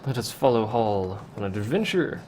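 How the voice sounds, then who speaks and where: A man's voice: fairly low pitch, animated, moderate pace, a little mellow, slight background noise. A young man casually commenting while playing a game on a livestream, answering chat with wry asides. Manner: chatty, reads chat questions aloud, wry asides. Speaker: let's play streamer.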